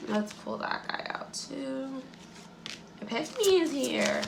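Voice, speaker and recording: A woman giving a casual tarot reading to her viewers, chatty and relaxed with lots of fillers, sounding a little stuffy-nosed from allergies. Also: sits high, slow pace, gravelly, reverberant, distant mic, background noise. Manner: chatty, relaxed, many likes and ums. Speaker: tarot reader and astrologer.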